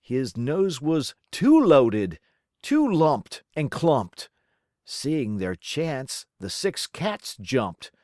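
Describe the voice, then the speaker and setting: Very slow, animated woman storyteller. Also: a bass voice, dry recording, clean studio sound. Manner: theatrical, deliberate, rhythmic. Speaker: read-aloud storyteller. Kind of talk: expressive children's storybook narration.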